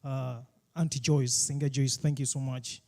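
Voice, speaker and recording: A man's voice: medium pitch, level tone, moderate pace, creaky, warm tone. A man hesitantly wrapping up an introduction at a panel event, with fillers. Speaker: moderator introducing speaker.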